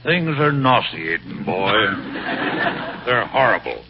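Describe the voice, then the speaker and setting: Sour, grumbling sidewalk Santa, noisy recording. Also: male voice, fairly low pitch, takes it slowly, crowd noise, full character voice. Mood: grumpy. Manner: sour deadpan griping, bellowed weary holiday greetings. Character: grumpy, miserable sidewalk Santa, sly henpecked charity collector. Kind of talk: vintage radio comedy acting. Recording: noisy, muffled old radio broadcast.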